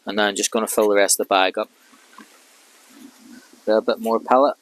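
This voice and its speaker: A man in his thirties, a fishing vlogger, calmly explaining each step of his rig while working outdoors by a lake with a clip-on mic. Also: lowish voice, brisk, poor audio. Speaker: carp angler vlogger. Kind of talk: casual how-to commentary.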